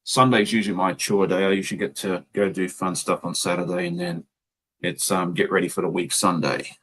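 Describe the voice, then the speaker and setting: A man's voice: sits low, fairly even intonation, brisk, a bit bright, husky. A man with an Australian accent hosting a casual chat while he sews. He tells rambling stories with plenty of ums. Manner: chatty, rambling storyteller with many ums. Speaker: host.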